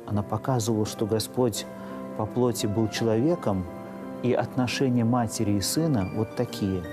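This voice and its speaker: A man in his thirties, a priest explaining in an interview, measured and searching for words. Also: fairly low pitch, fairly even intonation, over music. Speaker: priest, parish rector. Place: inside a church.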